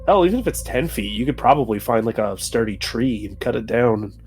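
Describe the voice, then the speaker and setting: A man talks through a tabletop game as one of the players. He sounds casual and conversational, with a medium-pitched voice and a faint bed of music. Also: lively intonation, brisk.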